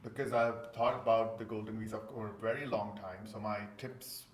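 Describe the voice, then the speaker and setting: Low-voiced man in an echoey room. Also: steady tone, normal speed. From India. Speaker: interview guest, golden visa client. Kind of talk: casual interview conversation. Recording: roomy, echoing indoor sound.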